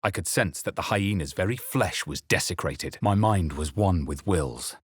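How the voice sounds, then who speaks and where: A man playing a wary, grave fantasy adventurer in a video game, with deliberate, theatrical delivery. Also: low-pitched, breathy edge, dry recording, clean studio sound. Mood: grave, dramatic. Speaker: video game player-character voice actor. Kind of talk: dramatic scripted game narration and voice lines.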